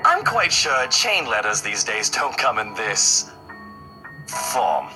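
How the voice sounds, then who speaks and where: A man voice acting a suave gentleman in a horror visual novel game. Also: fairly low pitch, sing-song, slow. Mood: assured. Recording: music playing over the voice.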